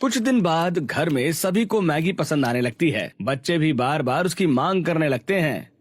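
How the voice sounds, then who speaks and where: A man's voice: a little high, expressive, normal speed, no echo, soft background music. A man narrating a scripted Hindi cartoon story.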